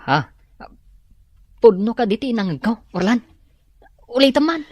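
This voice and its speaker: A man's voice: very high voice, sing-song, measured pace, a little mellow, dry recording, exaggerated voice. An actor playing a bashful young man in love, in a radio drama. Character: shy, lovestruck young man. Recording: radio drama with music bed.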